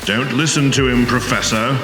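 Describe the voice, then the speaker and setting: An actor playing a cold, threatening assassin in an action sci-fi film, speaking curtly. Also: male voice, medium pitch, steady tone, moderate pace, natural voice. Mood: impatient, threatening. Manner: curt, threatening. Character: cold, menacing male assassin with a sword. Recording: roomy sound, faint music behind.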